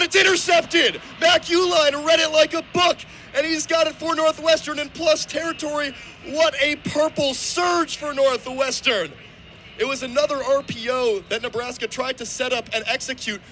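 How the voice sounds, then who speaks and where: A high-pitched American man in his twenties gives live football radio play-by-play. His delivery is energetic and vivid, built for big plays. Clear outdoor stadium noise sits behind him. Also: rough recording. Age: 20s.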